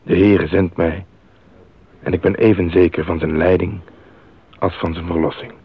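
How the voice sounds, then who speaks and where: An actor playing a devout male missionary reading his letter in an earnest, measured, solemn radio drama style. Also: low voice, little pitch movement, slightly rough, no echo. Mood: solemn conviction. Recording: narrow phone-like old radio sound.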